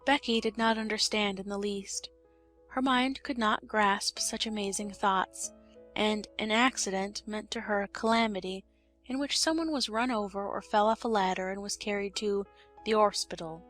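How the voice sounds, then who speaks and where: A woman reading a children's story as an expressive audiobook narrator. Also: medium pitch, normal speed, faint music behind.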